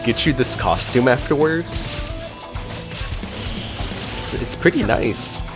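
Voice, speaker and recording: A man's voice: medium pitch, expressive, slow pace. A man giving casual, unscripted gameplay reaction commentary as a streamer, musing aloud as he plays. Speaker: gaming streamer. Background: game music.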